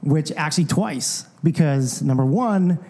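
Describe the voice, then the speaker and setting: A man's voice: fairly high pitch, lively intonation, unhurried, mellow. A man co-hosting a casual podcast interview, friendly and laid-back as he introduces his guests, with a good number of fillers. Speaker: podcast co-host.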